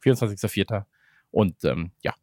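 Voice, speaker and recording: A man's voice: fairly low pitch, expressive, fairly quick, a little mellow, no room sound. Rambling podcast man, dry sound. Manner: rambling, many fillers, laughs while talking. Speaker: podcast co-host. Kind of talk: casual podcast chat.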